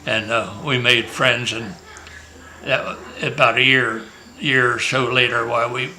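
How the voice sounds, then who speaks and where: Bright-voiced older man from Maryland. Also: medium pitch, fairly even intonation, a little slow, slight background noise, low-quality recording. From Maryland, USA. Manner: rambling, joking storyteller with many restarts. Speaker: grandfather being interviewed. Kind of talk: casual family interview, reminiscing. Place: family home at a reunion.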